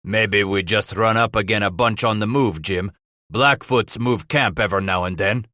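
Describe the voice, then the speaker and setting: A man voicing a sour, disdainful old German trapper in a western audiobook, gruff and grumbling in frontier slang. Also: fairly low pitch, normal speed, sharp tone, dry recording, clean studio sound. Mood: contemptuous.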